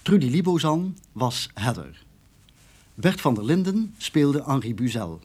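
A formal male radio announcer reads scripted credits very slowly and deliberately. His mid-pitched voice has lively intonation. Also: husky.

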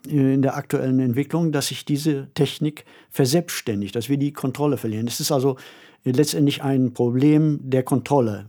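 Older man, husky mid-pitched voice, podcast co-host, reflective explaining. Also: warm tone.

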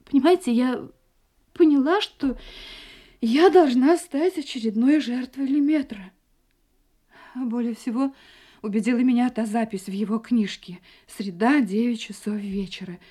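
An actress playing a frightened wife in a Russian audio drama, speaking in an agitated, halting, dramatic way. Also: female voice, a little high, warm tone. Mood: anxious, confessing. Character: frightened young wife recounting her escape from a murderous husband.

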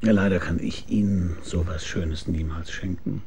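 An actor playing a cynical, world-weary investigator who narrates a radio drama in a dry, laconic, husky voice. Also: male voice, sits low, steady tone, moderate pace, mellow, slight background noise, natural voice. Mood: wry. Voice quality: gravelly, husky. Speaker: narrator and lead character. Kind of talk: hard-boiled radio drama narration and dialogue.

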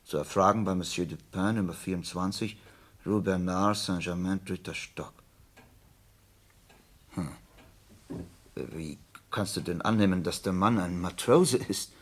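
An actor playing a detective's attentive, mildly sceptical friend in a German radio play, speaking in a measured, questioning way. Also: male voice, low-pitched.

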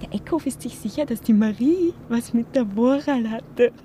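An actress voicing a young, self-assured and charming stage actress in a radio drama. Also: female voice, fairly high pitch, normal speed, dark tone, slight background noise, lightly acted. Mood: chatty, flattering. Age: young adult. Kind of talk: German-language radio drama acting.

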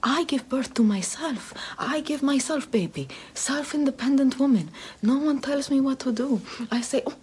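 A woman's voice: high voice, moderate pace, a little mellow, lightly acted. A woman whispering as a smug baby-eating vampire mother. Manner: breathy whisper, broken baby talk, repetitive. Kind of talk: whispered comic roleplay.

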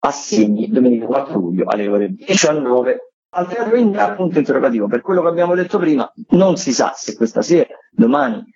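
A man's voice: mid-range voice, sing-song, a little fast, dark tone, slightly rough. A man giving a semi-improvised events round-up on radio as a theatre correspondent, discursive and often searching for words. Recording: dull lo-fi radio sound.

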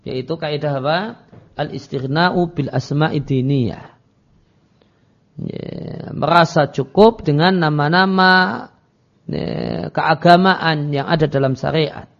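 Male preacher, higher-pitched creaky voice. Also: takes it slowly, long pauses, a bit bright. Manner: didactic, reads Arabic phrases then translates and explains them. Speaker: Islamic teacher. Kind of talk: religious lecture explaining an Arabic text. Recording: dull, lo-fi lecture audio.

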